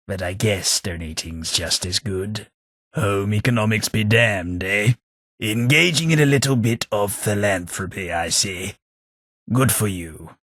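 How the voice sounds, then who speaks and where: A man's voice: lowish voice, bright, forceful tone, no room sound, exaggerated voice. A man voicing a snobbish, sardonic male ghoul aristocrat with an English accent, delivering game companion lines in a prim, dry upper-class drawl. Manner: prim, snobbish, wryly sarcastic.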